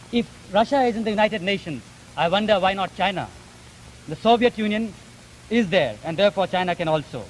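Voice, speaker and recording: A high-voiced man with an Indian accent argues his points formally and emphatically. His speech is articulate and deliberate in its phrasing. The recording is an old 1950s broadcast with light background noise. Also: poor audio. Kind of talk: formal debate.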